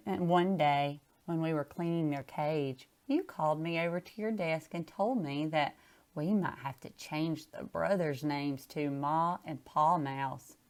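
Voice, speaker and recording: A woman's voice: low-pitched, measured pace. A woman chatting casually and reading a picture book aloud. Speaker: storybook reader.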